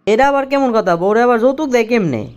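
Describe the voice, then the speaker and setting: Casting: henpecked young cartoon husband, played by a woman, high-set animated voice, Bangladeshi accent, incredulous complaining. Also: quick pace, highs cut off, natural voice. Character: exasperated, henpecked young husband pleading with wife. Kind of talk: comic cartoon dialogue in colloquial dialect.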